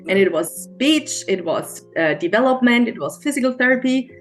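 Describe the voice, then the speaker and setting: A middle-aged woman with a German accent, a mindset coach, telling her story as an interview guest in a halting, earnest way with many restarts. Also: fairly high pitch, lively intonation, soft background music. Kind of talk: emotional personal storytelling interview.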